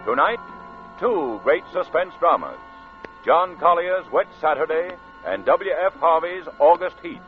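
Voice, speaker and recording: A man announcing a suspense show in a dramatic, declamatory 1940s radio style. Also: fairly high pitch. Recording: muffled old radio recording.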